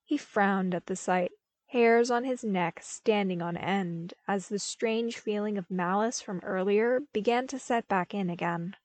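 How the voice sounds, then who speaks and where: Female audiobook narrator: mid-range voice, expressive prose reading, recorded close and free of echo. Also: medium pitch, a little slow. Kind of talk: expressive scripted story narration.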